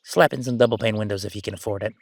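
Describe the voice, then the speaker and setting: A man's voice: on the low side, very fast, dry recording. A man playing a chatty, easygoing ghost who died at thirty-five, rambling casually with wry asides in an audio roleplay. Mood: chatty, upbeat. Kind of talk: conversational audio roleplay monologue.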